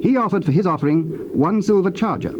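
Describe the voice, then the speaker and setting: A man narrating scripture from a script in a measured, formal, solemn manner. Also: sits high, animated. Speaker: narrator of dramatized Bible. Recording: dull, noisy old cassette tape.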